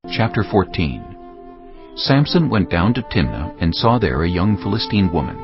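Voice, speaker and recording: A man's voice: deep voice, big pitch swings, normal speed. A man narrating scripture in a dramatic, scripted style. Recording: dull lo-fi audio with music. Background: music.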